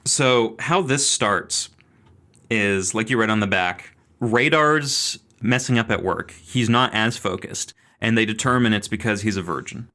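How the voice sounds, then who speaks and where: A man's voice: fairly low pitch, unhurried, bright, forceful tone. A man hosting a podcast, casually and animatedly retelling a film with put-on quotes. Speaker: podcast host. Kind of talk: casual chat.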